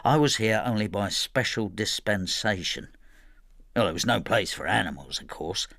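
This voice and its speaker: Bitter, gravelly, ageing husband. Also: male voice, low-pitched, a bit bright, no room sound, heavily acted. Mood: bitter resentment. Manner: brooding, spiteful, dramatic. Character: bitter, vengeful ageing husband devoted to his cat. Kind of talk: first-person radio drama narration and dialogue.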